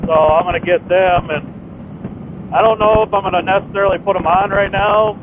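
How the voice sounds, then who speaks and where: Through a thin, phone-like headset intercom with clear wind and engine noise, a man talks casually. His voice sits on the higher side, and he sounds like a rider chatting on the road. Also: fairly even intonation, normal speed. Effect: helmetless headset intercom. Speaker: motorcyclist on a group ride. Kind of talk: casual chat over intercom. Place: outdoors on a motorcycle ride. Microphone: Bluetooth intercom headset.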